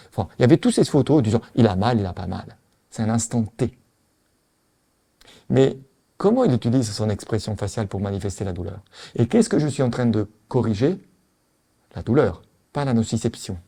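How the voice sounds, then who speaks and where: A man with an Italian accent lectures at a conference in a warm, mellow voice. He is animated and emphatic, repeating his points and asking the audience rhetorical questions. He sounds middle-aged and sure of his subject. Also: fairly low pitch. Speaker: veterinary anesthesiologist lecturer.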